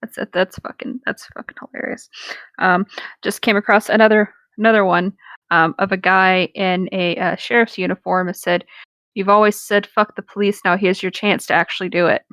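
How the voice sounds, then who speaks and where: A woman's voice: medium pitch, steady tone, normal speed, fairly bright tone, no room sound. A woman chatting informally in a group, swearing casually.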